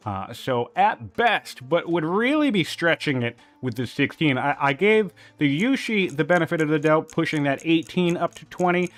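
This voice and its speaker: A man's voice: a little high, expressive, moderate pace, few pauses. A man casting a strategy game tournament, working through numbers aloud with frequent "um" and "you know", still a little sniffly after a cold. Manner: analytical, thinking aloud with fillers. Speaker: tournament caster.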